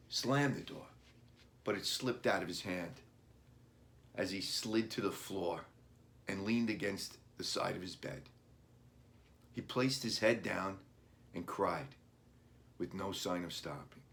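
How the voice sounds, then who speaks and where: A man reads for children in a fairly low voice at a very slow pace. His manner is deliberate, that of an author presenting his own storybook. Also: a bit bright. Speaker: author reading own book.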